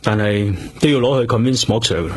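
An actor with a Hong Kong Cantonese accent playing an earnest, introspective police detective in a radio drama. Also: male voice, lowish voice, fairly quick, slightly rough. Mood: friendly. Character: earnest, driven male police detective in love. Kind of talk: radio drama acting with inner narration.

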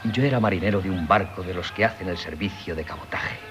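An actor playing an earnest, polite and sickly young would-be sculptor in a Spanish radio play, with a Castilian accent and hesitant delivery. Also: male voice, low voice, fast, a bit bright. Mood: earnest, chatty. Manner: polite, hesitant, deferential storyteller. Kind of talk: 1970s Spanish radio theatre acting. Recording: 1970s radio drama with music.

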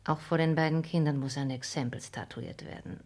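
An actress playing a hard, ambitious, scheming countess in a radio play, with formal, intense stage diction and a breathy, husky voice. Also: female voice, deep voice, fairly quick, darkish tone. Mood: driven, resolute. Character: scheming, hard, ambitious countess plotting against her dying father. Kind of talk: 1960s German radio play acting.

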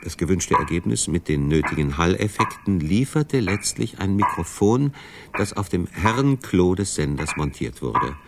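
A man's voice: sits low, big pitch swings, moderate pace, gravelly, very noisy. A man reading scripted narration as the narrator of a radio documentary, recorded in a studio.

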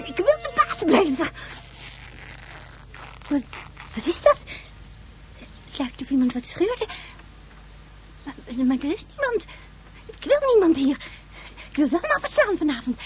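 A woman's voice: extremely high pitch, very animated, moderate pace, long pauses, soft background music. A woman playing a spoiled, bossy little girl ruler in a radio drama, with a childlike, whiny and imperious delivery. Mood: scheming, self-absorbed. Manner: whiny, imperious, chanting rhymes to herself. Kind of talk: Dutch radio drama acting.

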